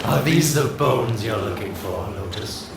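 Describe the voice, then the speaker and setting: A man's voice: lowish voice, animated, a little slow, vocal fry, heavy background noise. A man playing a sly, mocking, self-interested schemer in a video game, with a teasing, theatrical drawl. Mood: smug teasing. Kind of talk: video game character acting. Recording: echoey, distant, under loud game music.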